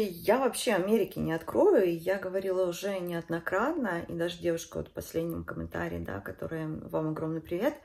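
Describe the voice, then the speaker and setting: Woman in her 30s doing a chatty vlog, kinda low voice w lots of fry, emphatic. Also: darkish tone. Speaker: beauty and lifestyle vlogger. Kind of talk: casual chat to viewers. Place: indoors at home.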